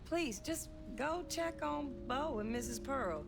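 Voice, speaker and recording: A practical mother who cares for her daughter. Her voice sits high, in a game cutscene scored with clearly audible music. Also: animated, takes it slowly, heavily acted. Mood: calm, busy. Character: practical, caring Southern mother of a grown daughter. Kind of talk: video game cutscene acting.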